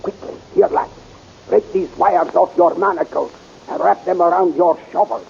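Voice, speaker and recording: A frantic older scientist with a high, gravelly voice and a dark tone; 1930s radio serial style, muffled old recording. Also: male voice, moderate pace, heavily acted. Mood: urgent. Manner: pleading, urgent. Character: excitable elderly male scientist, anxious and eager. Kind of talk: 1930s radio drama acting.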